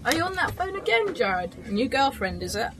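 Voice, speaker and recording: A woman's voice: high voice, very animated, moderate pace, a crowd in the background. A woman playing a chatty, teasing office receptionist.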